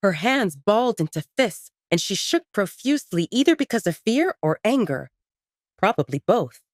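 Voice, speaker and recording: A woman's voice: medium pitch, sing-song, moderate pace, dry recording, studio quality. A woman reading scripted, dramatic romance fiction as an audiobook narrator.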